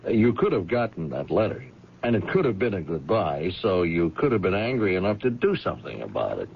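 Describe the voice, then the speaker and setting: An actor playing a dogged police lieutenant in a 1970s radio drama, questioning suspects in a methodical, insistent manner. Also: male voice, sits low, sing-song, a little fast, creaky, slightly rough, heavily acted. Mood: probing, insistent. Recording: muffled, noisy old radio broadcast.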